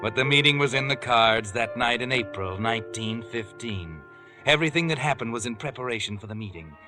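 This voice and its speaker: Guy doing an ominous old-time radio mystery narrator, sinister and knowing, mid-pitched, music underneath. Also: animated, a little fast, lightly acted. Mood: knowing, grim.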